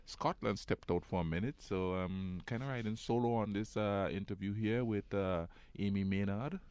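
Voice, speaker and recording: A man with a deep, low voice hosts a casual podcast interview. He talks in a relaxed way with a touch of island slang, and the room sounds dry and close. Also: moderate pace, smooth, no echo. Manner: laid-back, uses island slang. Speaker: podcast host.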